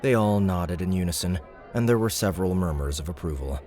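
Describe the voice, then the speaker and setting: Man, very deep voice, audiobook narration, steady, measured. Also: soft background music, a faint crowd. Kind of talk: scripted narration.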